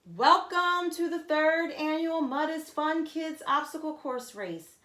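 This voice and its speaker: A woman voicing a hearty male race announcer in a storybook, with loud, drawn-out rallying calls, in an echoey room. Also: heavily acted. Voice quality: booming. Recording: echoey, roomy sound.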